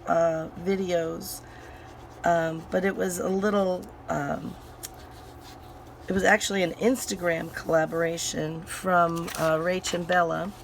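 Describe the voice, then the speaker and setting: Slow, low-voiced woman with a hoarse, recovering voice. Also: a little hiss or hum. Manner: rambling, full of fillers and restarts. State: hoarse, voice recovering from sinus infection. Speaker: craft channel host. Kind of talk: casual crafting chat while working.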